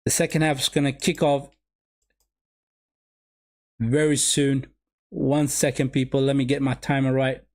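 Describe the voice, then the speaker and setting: Man, somali first language, football watch-along host, repeats himself, talks to viewers as people, middle pitch. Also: flat delivery, a little slow, pauses often. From Sweden. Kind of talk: live match watch-along commentary.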